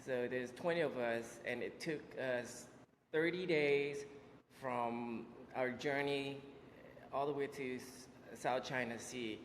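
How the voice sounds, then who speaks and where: A man in his forties from Vietnam, telling his life story as an interview guest, halting with many fillers and restarts. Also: mid-range voice, steady tone, slight background noise. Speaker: interview guest, business owner. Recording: echoey room with long reverb.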